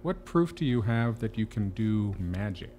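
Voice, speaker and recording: Man acting a patronizing, expressive asylum doctor. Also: lowish voice, close to an everyday voice. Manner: measured, condescending, questioning. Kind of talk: audio drama acting.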